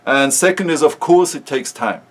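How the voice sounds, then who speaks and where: Frank Swiss man with a higher voice. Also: expressive, normal speed. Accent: Swiss German. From Switzerland. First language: Swiss German. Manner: earnest, frank, with restarts. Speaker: maker sharing experience. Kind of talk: casual vlog monologue.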